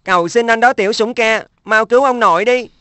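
A woman's voice: on the high side, brisk, fairly bright tone, no echo, lightly acted. A woman voicing an earnest, respectful young boy in a cartoon dub. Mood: pleading. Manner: earnest, childlike.

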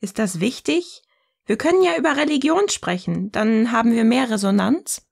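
Eine Frau spielt in einem Hörspiel eine provokante, ironische Gesprächspartnerin, die bedächtig und neckend philosophiert. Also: female voice, fairly high pitch, lively intonation, normal speed, gravelly, slightly rough, heavily acted. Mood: ironic, provocative. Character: provocative, ironic woman sparring in philosophical dialogue. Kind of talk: scripted audio drama dialogue, philosophical banter.

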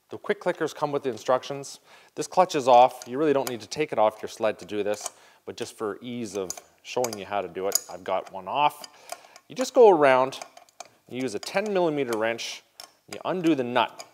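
A man's voice: medium pitch, expressive, a little fast, darkish tone, a little hiss or hum. A man in his forties hosting a casual, upbeat how-to demonstration in an indoor garage workshop, talking straight to viewers on a lapel mic. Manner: upbeat, direct, talks to viewers as "you guys". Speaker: show host demonstrating snowmobile parts.

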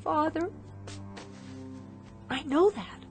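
An actress in a radio drama playing an anguished, defiant grown daughter with an emotional, dramatic delivery. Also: female voice, high voice, expressive, very slow, soft background music, full character voice.

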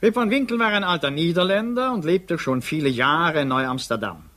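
An actor playing a brisk, ironic public prosecutor with an authoritative, urbane manner in a radio drama. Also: male voice, a little high, expressive, sharp tone. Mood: dictating.

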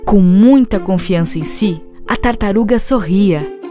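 A woman's voice: medium pitch, unhurried. A woman giving a scripted storybook narration for children, as the narrator of a fable. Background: music behind the voice.

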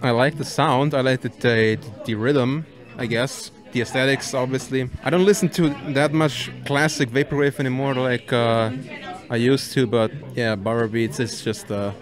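Matter-of-fact young man, mid-pitched. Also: steady tone, moderate pace, a crowd in the background. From Switzerland, of Serbian background. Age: 20s. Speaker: music producer being interviewed. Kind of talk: casual interview answers. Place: bar at night. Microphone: handheld microphone.

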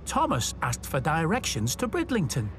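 A man narrating a children's story in an expressive, dramatic way. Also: a little high, normal speed, noisy background. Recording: music under the narration. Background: music.

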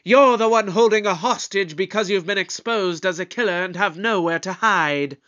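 A man's voice: sits high, moderate pace, fairly bright tone, lo-fi sound. A man playing a bold, moralizing alien time-traveler in an audio drama, in a declamatory, pompous manner. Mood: defiant, stern.

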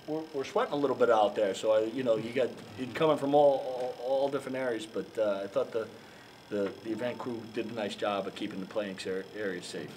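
Older coach guy, lowish voice, stumbles and repeats words, lots of you know, noisy press room. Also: a little mellow. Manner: halting, repeats words, many fillers. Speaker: head basketball coach. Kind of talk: postgame press conference. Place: press room in front of a sponsor backdrop. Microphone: handheld mics held up by reporters.

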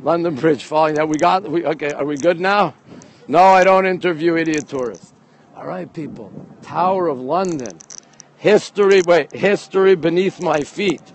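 A middle-aged man hosting a casual walking live stream, chatty and excitable, often repeating himself as he reacts to viewers. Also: fairly high pitch, moderate pace. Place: outdoors on busy city streets, walking. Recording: outdoor street and traffic noise.

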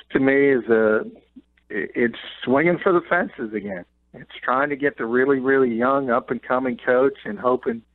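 A man calls in over a thin, tinny phone line, his voice dry and close. He breaks down football news in a quick, chatty way with short laughs. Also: mid-range voice, dry recording. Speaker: NFL columnist guest. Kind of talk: sports radio analysis by phone.

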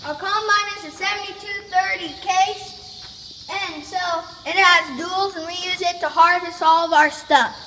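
A woman's voice: extremely high pitch, steady tone, measured pace, sharp tone, a little hiss or hum. A teenage girl giving a casual walking tour of her family farm outdoors, talking plainly and tying her points together with lots of "so". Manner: chatty, plain, many "so" fillers.

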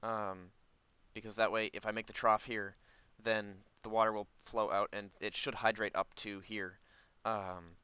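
A man with a fairly low, bright voice talks through a video game in a casual, unscripted way. He rambles and thinks aloud as he goes. The sound is dry and close. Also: flat delivery, a little slow, hardly any pauses, smooth, no room sound. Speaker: gaming video host. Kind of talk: casual, unscripted gameplay commentary.

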